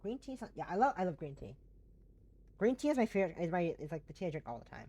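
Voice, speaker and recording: Hoarse, stuffy-nosed woman streamer. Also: on the low side, big pitch swings, fast talker. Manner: chatty and warm to chat, frequent restarts. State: hoarse, voice going; stuffy blocked nose. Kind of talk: casual live-stream chat with viewers.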